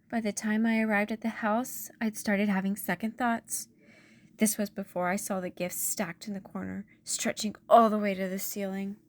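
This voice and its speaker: A woman voicing an anxious, self-doubting cool bean in a children's storybook, telling the tale with dramatic, expressive delivery. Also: medium pitch, lightly acted. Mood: nervous dread. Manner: dramatic, animated, sighing.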